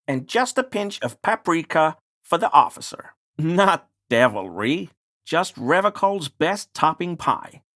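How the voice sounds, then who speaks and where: Game role: anxious, toadying little bigot of a deliveryman, very animated intonation, slippery and apologetic manner. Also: medium pitch. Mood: eager, fawning. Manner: obsequious, stammering, evasive. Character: nervous, ingratiating male pie deliveryman with bigoted suspicions. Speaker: video game voice actor. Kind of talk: scripted game dialogue.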